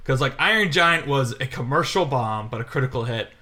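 A man's voice: medium pitch, expressive, fairly quick, sharp tone. A young man in casual podcast chat, telling a scattershot story and laughing often. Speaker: podcast co-host.

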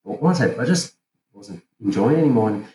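A man's voice: fairly low pitch, animated, brisk, mellow. A man from South Africa, a podcast guest talking casually and hesitantly, with many fillers and restarts. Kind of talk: casual interview chat. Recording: roomy with faint ambient noise.

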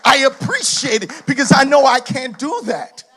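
A man of Haitian heritage preaching a sermon. His delivery is emphatic, builds through shouted repetitions, and is broken up by laughing asides. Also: extremely high pitch, sing-song, normal speed, a faint crowd, a little hiss or hum.

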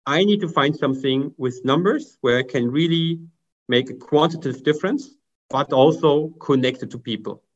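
A man from Germany with a German accent, a guest author answering interview questions in measured, slightly formal phrasing over a video call. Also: medium pitch, highs cut off. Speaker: guest author, procurement consultant.

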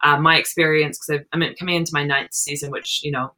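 A young woman with an Australian and New Zealand accent talks in a low, bright voice. She rambles through her points with fillers in a casual podcast chat. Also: level tone, fast talker, low-quality recording. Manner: rambling, with frequent fillers and laughs. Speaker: roller derby jammer and captain.

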